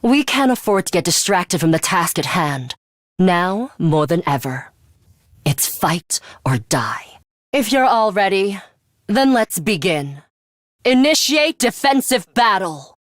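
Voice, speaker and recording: A woman's voice: medium pitch, big pitch swings, slow, no room sound, studio quality. A woman voicing an aloof, scornful young female fighter with a sadistic streak in a video game, curt and condescending in manner. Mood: commanding. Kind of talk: English video game voice acting.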